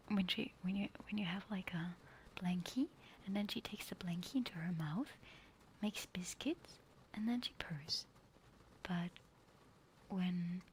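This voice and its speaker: A woman doing a casual ASMR livestream in a breathy whisper and hushed stage whisper, flustered and halting, often repeating her words. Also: medium pitch, a little hiss or hum. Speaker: livestreamer hosting an ASMR stream.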